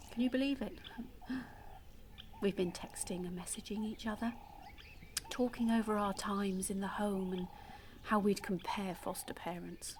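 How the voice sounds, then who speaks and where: An actress playing a damaged, bitter woman in an audio play monologue, confiding and quietly menacing, often dropping close to a whisper. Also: medium pitch.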